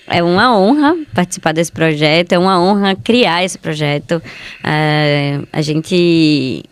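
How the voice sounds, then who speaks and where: A woman's voice: on the low side, clean tone. A woman with a Brazilian accent, a medical geneticist giving conversational answers as an interview guest.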